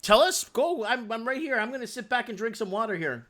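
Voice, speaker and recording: A man's voice: very high voice, expressive, fairly quick, fairly bright tone. A man hosting a live call-in stream, chatting casually and cutting in with offhand remarks. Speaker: host of a live late-night call-in stream. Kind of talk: casual chat.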